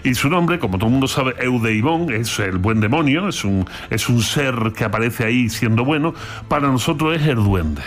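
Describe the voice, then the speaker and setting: A man's voice: mid-range voice, expressive, moderate pace. Playful Andalusian man explaining philosophy. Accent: Andalusian Spanish. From Andalusia, Spain. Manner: playful, theatrical asides. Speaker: philosophy commentator. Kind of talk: explanatory radio talk.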